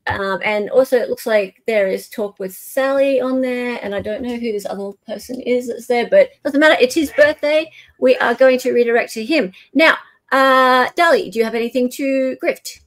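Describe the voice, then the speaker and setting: A woman's voice: a little high, normal speed. A woman hosting a live stream in casual chat, with an effusive, encouraging manner.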